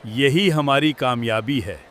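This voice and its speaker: A man voicing a friendly, helpful vegetable in a children's cartoon, with an expressive, moralizing manner. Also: mid-range voice, music in the background, lightly acted. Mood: warm, earnest.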